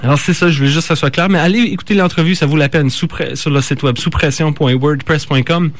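A man hosts an informal radio show in a Canadian French accent. His delivery is halting and unscripted. He often stumbles over words, backs up and corrects himself. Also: on the high side, dry recording. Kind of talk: informal live radio talk.

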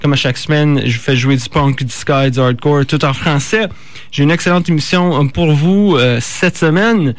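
A man hosts an informal radio show in a Canadian French accent. His delivery is halting and unscripted. He often stumbles over words, backs up and corrects himself. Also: on the high side. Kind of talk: informal live radio talk.

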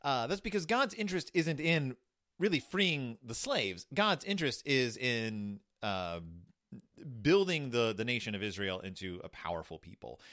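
Animated man retelling a Bible story. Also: mid-range voice, no room sound, studio quality. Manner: animated, irreverent, halting. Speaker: commentator.

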